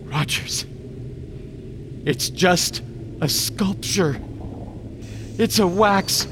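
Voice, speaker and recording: Alarmed, high-voiced skeptic, slow-paced. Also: male voice, background noise. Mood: uneasy disbelief. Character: alarmed, disbelieving man reasoning with a madman. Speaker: actor in a radio drama. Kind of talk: horror radio drama acting.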